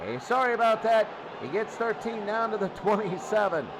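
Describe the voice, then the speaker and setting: A man calling football play-by-play for radio in an excitable manner, often repeating phrases. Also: high voice, normal speed. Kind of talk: radio sports commentary. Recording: loud noisy stadium crowd behind. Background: roaring crowd.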